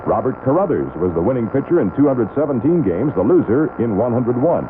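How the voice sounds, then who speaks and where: A man's voice: mid-range voice, sing-song, a little fast. A man reading a scripted radio quiz and sponsor spot as an announcer. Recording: tinny, noisy old radio broadcast.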